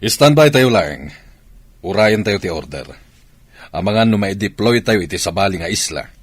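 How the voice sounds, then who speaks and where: A man's voice: on the low side, animated, normal speed, husky, no echo. A man with an Ilocano accent playing a married army commander in a radio drama. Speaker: soldier husband and father.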